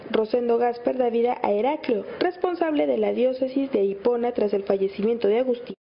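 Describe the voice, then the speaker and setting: Higher-voiced woman interviewer. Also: a little fast, vocal fry. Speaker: interviewer and presenter. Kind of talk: interview questions and scripted introductions. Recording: slightly muffled, faint background noise.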